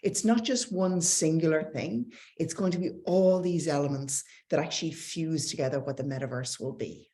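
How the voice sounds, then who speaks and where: A woman's voice: deep voice, moderate pace, few pauses, warm tone. A middle-aged woman with an Irish accent gives a conversational, enthusiastic talk with slides, mixing in light self-deprecating asides. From Ireland. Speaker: tech company speaker.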